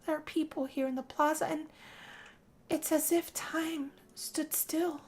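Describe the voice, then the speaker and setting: A woman's voice: high voice, steady tone, slow. A woman narrating a role-play story in first person as a lovestruck, weary young heroine, in a theatrical, sighing manner. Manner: dramatic, breathy sighing delivery. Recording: music under the voice.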